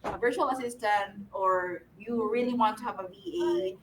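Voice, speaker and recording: A woman's voice: medium pitch, moderate pace, far from the mic, noisy background. A Filipino woman with a Filipino accent, chatting casually and fondly on a live video call, laughing often between fillers. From Philippines. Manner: giggly, affectionate, many fillers. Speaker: team member. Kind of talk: casual live stream chat.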